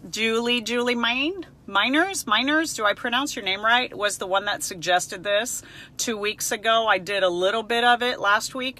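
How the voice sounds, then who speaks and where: A woman's voice: mid-range voice, pushed and bright. A middle-aged woman, a native Spanish speaker, chatting casually and frankly as a counselor answering viewers on a live stream. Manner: chatty, personable, emphatic, frank.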